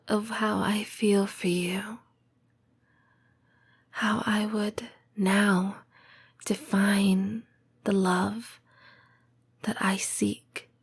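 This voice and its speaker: A woman voicing a tender, patient lamia girlfriend in an intimate comfort roleplay, breathy and whispering, with measured, formal phrasing. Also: medium pitch, full character voice. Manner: slow, measured, formal phrasing with deliberate pauses. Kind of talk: intimate comfort roleplay audio, scripted monologue.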